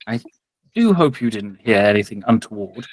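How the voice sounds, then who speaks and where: A man's voice: fairly low pitch, animated, moderate pace, no room sound. A man voicing a genial, sly priest in a tabletop game, speaking with formal, unctuous courtesy. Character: genial, sly priest pressing wine on visitors.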